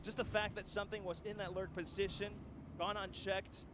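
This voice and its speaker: A man with a high-pitched voice is calling a live esports match. He moves between play-by-play and analysis. There is clear noise behind him, like an urban ambience. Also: level tone, moderate pace.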